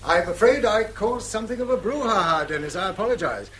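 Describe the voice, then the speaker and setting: An actor playing a priggish, moralising English husband in a stiff, righteous radio drama manner. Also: male voice, high-pitched, expressive, fairly quick, a bit bright, slight background noise. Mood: grudgingly contrite. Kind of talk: British radio drama acting.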